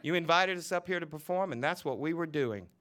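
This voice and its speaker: A man reading aloud and voicing a fiery middle-aged woman who scolds in a combative, shrill way. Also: a little fast, a bit bright, clean tone, natural voice. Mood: indignant scolding.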